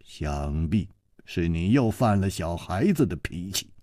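A man playing a stern, dignified elderly swordsman, a father with a low, grave, authoritative voice. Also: big pitch swings, measured pace, mellow, no room sound, clean studio sound. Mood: scolding.

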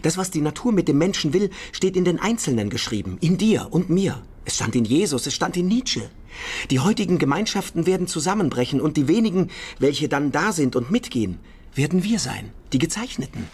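An actor playing an intense young visionary in a radio drama, declaiming solemnly and prophetically. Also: male voice, fairly high pitch, very animated, a little fast, darkish tone, slightly rough, full character voice. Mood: solemn, fervent. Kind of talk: German literary radio drama acting.

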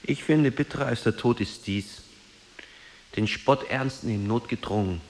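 A man as a sober, disillusioned loner, mid-pitched. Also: moderate pace, natural voice. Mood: bitter resignation. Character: reserved, disillusioned solitary man, quietly attentive listener. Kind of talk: restrained literary radio drama acting.

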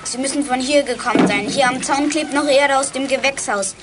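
A woman's voice: high-pitched, a little fast, noisy background, lightly acted. A woman playing a cheeky, plucky schoolchild detective in a children's radio play. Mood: eager.

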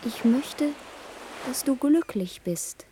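A woman's voice: high-pitched, expressive, slight background noise. A woman playing a dreamy young daughter in a radio play, wistfully coaxing and pleading with her mother. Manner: slow, wistful, gently coaxing.

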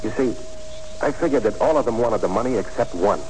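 A man's voice: medium pitch, animated, brisk, darkish tone, soft background music, close to an everyday voice, poor audio. An actor playing a tough, dogged man hunting his past, with clipped hard-boiled delivery in 1940s radio drama style. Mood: tense, probing. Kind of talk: 1940s radio acting with first-person narration. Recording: old 1940s radio broadcast recording.

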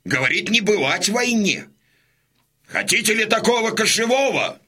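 Rousing Cossack agitator, bright and rough-voiced. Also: male voice, high-pitched, very animated, normal speed, full character voice. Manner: declamatory, goading. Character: bold Cossack man rousing the crowd to war. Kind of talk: Russian audio drama acting.

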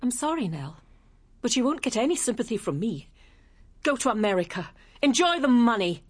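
A woman's voice: fairly high pitch, big pitch swings, normal speed, husky. Frank, wounded woman opera singer. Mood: scornful. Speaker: actress. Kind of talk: radio drama acting.

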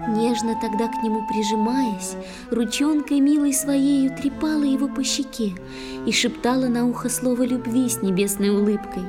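A woman narrating a tale in verse with expressive, dramatic, theatrical delivery. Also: a little high, normal speed. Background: music with flute.

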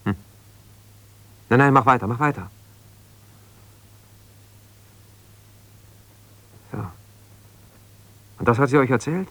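A man's voice: mid-range voice, normal speed, fairly bright tone, dry recording, close to an everyday voice. An actor playing a middle-aged, self-justifying lawyer left by his wife, talking argumentatively and insistently in a naturalistic radio drama. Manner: argumentative, insistent, hesitating when conceding. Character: ambitious lawyer, abandoned husband, self-justifying, stubborn, defensive.

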